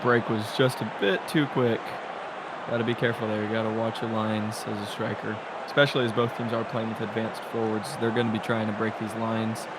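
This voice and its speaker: A man's voice: fairly low pitch, a little fast. Chatty male color commentator, noisy. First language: English. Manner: chatty, analytical, excitable. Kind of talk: casual sim soccer commentary.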